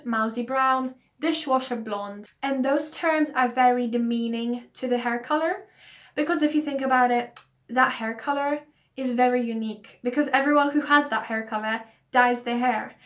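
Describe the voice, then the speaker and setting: A young woman, her voice croaky from hay fever, talking to camera in a casual, earnest vlog about her reasons. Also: fairly high pitch, fairly bright tone. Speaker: beauty vlogger.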